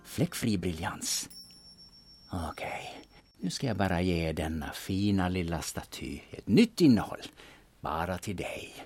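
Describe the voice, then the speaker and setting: Man acting a husky, low-voiced, shrewd older man. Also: big pitch swings, darkish tone, exaggerated voice. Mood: sly, satisfied. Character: shrewd, secretive older man, war veteran turned sleuth. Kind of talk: serial audio drama acting.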